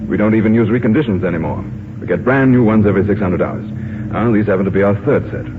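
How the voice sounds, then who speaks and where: A man acting as a brisk, practical pilot captain in an old radio play. He talks quickly in a lowish, confident voice. The recording is tinny, over aircraft noise. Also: big-room sound, natural voice. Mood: confident, informative. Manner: brisk, matter-of-fact. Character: confident, practical airline captain, skeptical and courteous. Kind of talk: 1950s radio drama acting. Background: droning aircraft noise.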